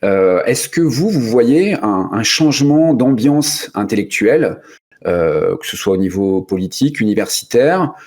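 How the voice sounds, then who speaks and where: A man's voice: on the low side, expressive, unhurried, a little mellow, husky. A male host opening a radio discussion show, speaking with frequent hesitations and restarts. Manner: hesitant, many fillers and restarts.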